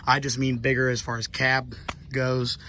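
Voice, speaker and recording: Hoarse man hosting a truck vlog. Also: medium pitch, completely flat delivery, moderate pace, bright, forceful tone, slight background noise. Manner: rambling, many ums. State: hoarse from laryngitis. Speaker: car channel host. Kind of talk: casual walkaround vlog. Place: outdoors beside a pickup truck.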